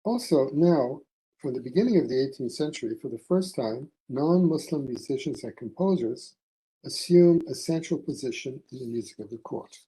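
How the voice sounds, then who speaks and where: Male academic lecturer, mid-pitched and very mellow, formal read-aloud delivery in deliberate short phrases. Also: animated, rough recording. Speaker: academic presenting a conference paper. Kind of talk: formal scholarly lecture read from a prepared text.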